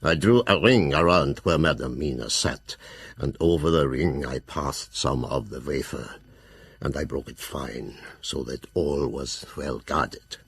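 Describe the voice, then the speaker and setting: An old vampire-hunting professor in a gothic audio drama. His voice is extremely low and badly rasped. He narrates his journal slowly and gravely, full of dread. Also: fairly bright tone, full character voice. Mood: solemn, fearful. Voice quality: gravelly, husky.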